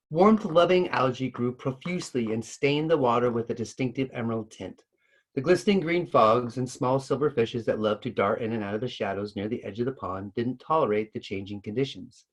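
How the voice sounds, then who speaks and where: A man reading a children's story aloud with expressive narration. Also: medium pitch, poor audio. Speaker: story reader.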